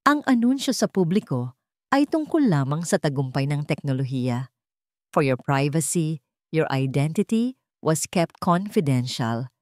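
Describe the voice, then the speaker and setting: A Filipino woman voicing a calm, reassuring doctor in a story, explaining things in a measured, emphatic way. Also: deep voice, dry recording, studio quality.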